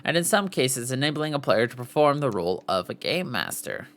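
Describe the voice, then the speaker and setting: A podcast host reads written material aloud in a mid-range voice. The pitch moves around a lot from phrase to phrase. The delivery is formal, like an article, with occasional stumbles over words. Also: sing-song, a little fast, fairly bright tone. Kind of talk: reading an article aloud with brief asides.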